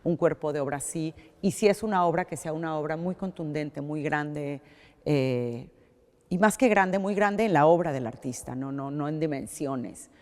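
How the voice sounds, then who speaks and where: A woman's voice: low-pitched, moderate pace, darkish tone. A middle-aged woman with a Mexican accent answering interview questions, thoughtful, often restarting phrases. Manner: thoughtful, with many restarts and fillers. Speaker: art collector. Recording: long hall reverb.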